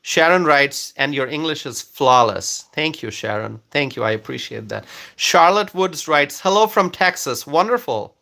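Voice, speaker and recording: A man's voice: on the high side, expressive, normal speed, gravelly, sharp tone. A man whose first language is Bengali, hosting a casual live stream, reading viewer comments aloud and thanking people by name, with frequent fillers.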